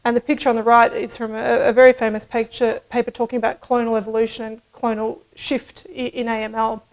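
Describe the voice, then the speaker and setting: A middle-aged woman giving a scientific conference talk at a podium microphone, explaining in a measured way with some hedging. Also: fairly high pitch, level tone, darkish tone, highs cut off. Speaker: medical researcher presenting at a conference. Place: indoor conference room, at a lectern.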